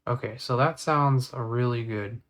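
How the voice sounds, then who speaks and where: A man with a medium-pitched voice gives an informal screen tutorial. He talks through each small step as he does it, thinking aloud in an everyday conversational way. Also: measured pace, fairly bright tone, a little hiss or hum. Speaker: tutorial host. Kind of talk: casual screen-recorded software tutorial.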